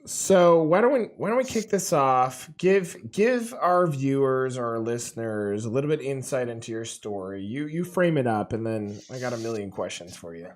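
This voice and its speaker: A man with a cold hosting an interview podcast, talking casually and informally with halting, repeated phrases. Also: mid-range voice, expressive. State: congested with a cold.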